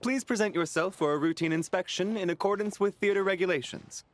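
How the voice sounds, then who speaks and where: A man's voice: high-pitched, fairly quick, a little hiss or hum, lightly acted. An actor playing a polite male security guard in a video game, speaking in a formal, procedural manner. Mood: polite, official. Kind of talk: video game character dialogue.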